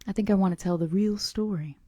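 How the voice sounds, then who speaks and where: A woman reading the part of a calm, melancholy male vampire who tells of his past in a gentle, measured, confidential way. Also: mid-range voice, no room sound, clean studio sound, close to an everyday voice. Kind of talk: audiobook-style character reading.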